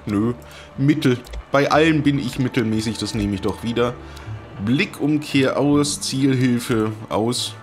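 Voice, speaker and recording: Ein Mann kommentiert locker und mit trockenen Sprüchen ein Videospiel als Let's-Play-Host. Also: male voice, mid-range voice. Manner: chatty, wry asides while reading game menus. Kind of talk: casual gameplay commentary. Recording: talking over game music.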